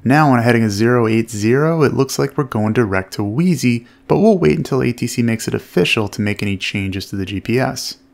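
A man with a creaky, gravelly edge explains a flight step by step. He speaks at a medium pitch in a measured, instructional manner, like a flight instructor giving commentary. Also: animated.

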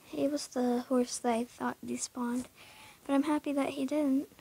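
A woman's voice: high-pitched, steady tone, normal speed, gravelly, mellow. A young woman casually talking through her horse barn in a game, hesitant, full of fillers and often dropping into a whisper.

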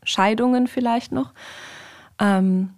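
A woman's voice: on the high side, fairly even intonation, slow, a little mellow. A young woman talking casually and informally as a podcast guest, explaining things in conversation. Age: young adult. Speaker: podcast guest, rabbinical trainee.

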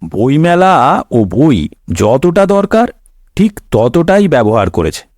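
A man's voice: on the high side, very animated, slow, darkish tone, no echo, studio quality. A man narrating a scripted comic cartoon story in a wry, theatrical way. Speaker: storyteller host.

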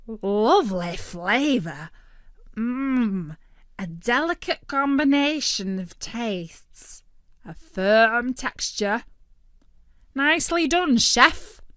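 Storybook role: a woman doing a brash, greedy male turkey, loud and pushy, higher than her own voice. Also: animated, very slow, dry recording, studio quality, full character voice. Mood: greedy boasting. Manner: loud, eager, bossy.

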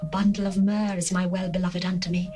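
A woman voicing a lovesick young bride longing for her beloved, in a dramatized scripture reading, tender and lyrical with hushed passages. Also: fairly low pitch, little pitch movement, brisk, slight background noise, close to an everyday voice. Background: faint music.

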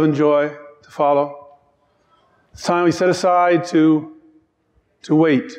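Chatty Newfoundland minister in a reverberant church. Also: male voice, fairly high pitch, darkish tone. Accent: Newfoundland English. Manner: chatty, joking, quick asides. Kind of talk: informal children's-time sermon. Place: church sanctuary, standing at pulpit.

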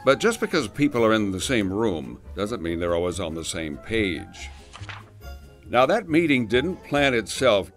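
A man's voice: on the low side, very animated, unhurried, soft background music. A man narrating a scripted awareness video in an upbeat, lightly joking voice-over.

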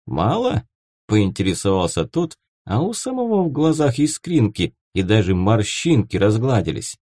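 A male audiobook narrator reads fiction expressively in a fairly low, mellow voice. His intonation moves widely from phrase to phrase, and the recording is close and dry. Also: sing-song, moderate pace, dry recording.

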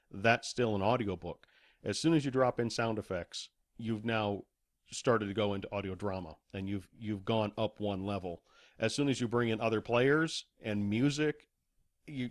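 A man speaking as a guest in a casual interview discussion. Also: on the low side.

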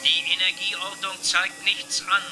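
Male robot ship computer, children's sci-fi radio play. High pitch, calm, exact, formal, music underneath. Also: exaggerated voice. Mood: matter-of-fact. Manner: measured, factual, formal. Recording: music behind the voice.

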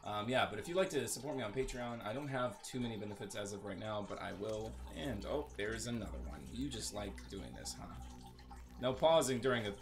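A man's voice: on the low side, brisk. A man doing casual, rambling gameplay commentary as host of a gaming video, with a sarcastic, joking manner.

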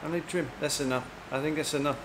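A man's voice: a little high, steady tone, quick pace. A man, chatting and teasing as a vlogger while getting a haircut, filmed on a camera held at arm's length. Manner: teasing, chatty, laughs often. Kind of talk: casual chat. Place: busy barber shop, indoors. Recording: noisy shop background.

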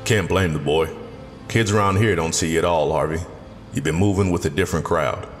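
An actor playing a wise, stern older garage owner and community leader in an audio drama, plain-spoken and authoritative. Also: male voice, low-pitched, fairly quick, close to an everyday voice. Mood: fatherly, knowing. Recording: long reverb, music underneath.

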